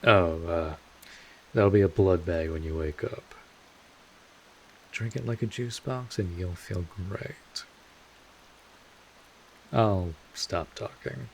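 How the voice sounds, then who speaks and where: A man playing a world-weary but kindly old vampire mentor in an audio roleplay, speaking informally and in measured phrases with wry asides. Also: deep voice, creaky, slight background noise, heavily acted, poor audio. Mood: gently protective. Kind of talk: second-person audio roleplay monologue.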